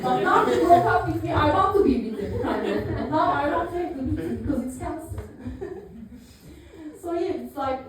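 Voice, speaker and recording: A woman in her thirties doing a dry, self-mocking stand-up routine, full of fillers and small laughs. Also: high-pitched. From Turkey. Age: 30s. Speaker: stand-up comedian. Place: live comedy venue, audience laughing. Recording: echoey room picked up from far off.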